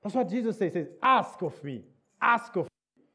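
A man's voice: sits high, expressive, normal speed, pushed and bright, breathy edge. A man preaching a sermon in a church service in England, English not his first language, repeating key words and checking in with the listeners.

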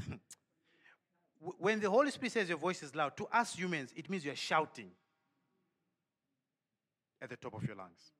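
A man preaching a sermon with lively energy, laughing and firing questions at the congregation. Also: on the high side, moderate pace, pauses often.

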